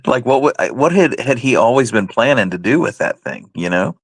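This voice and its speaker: A man's voice: mid-range voice, lively intonation, moderate pace, breathy edge, no room sound, studio quality. A man co-hosting a talk show, giving casual conversational commentary. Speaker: co-host.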